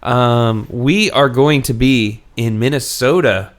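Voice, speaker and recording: A man's voice: medium pitch, slow. A man hosting a podcast, chatting casually about books with wry jokes and easy swearing. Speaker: podcast host.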